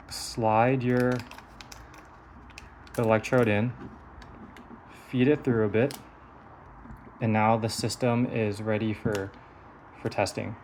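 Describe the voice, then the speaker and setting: A man's voice: on the low side, a little mellow, slight background noise. A man giving a hands-on technical demonstration of a device in a methodical, explanatory manner. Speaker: engineer presenting a prototype device.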